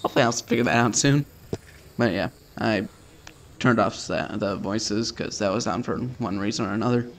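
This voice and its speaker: A man's voice: lowish voice, normal speed, slight background noise. A man narrating a visual novel let's play in an informal, slangy, offhand way. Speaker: video game streamer doing a let's play. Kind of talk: casual let's play, reading visual novel text aloud.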